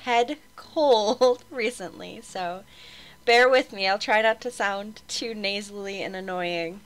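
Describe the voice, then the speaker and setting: A woman's voice: medium pitch, takes it slowly, poor audio. A young woman with a head cold and a slightly nasal voice, casually chatting through a game walkthrough as its host, upbeat and laughing often.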